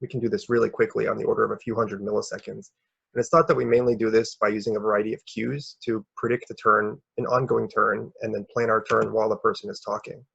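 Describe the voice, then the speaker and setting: A young man presents research in a lowish voice that is heavily creaky and very rough. He explains things informally and hesitantly, often repeating a word before going on. The recording is somewhat dull and lo-fi. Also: level tone, fast, fairly bright tone. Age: young adult. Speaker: researcher presenting a conference paper.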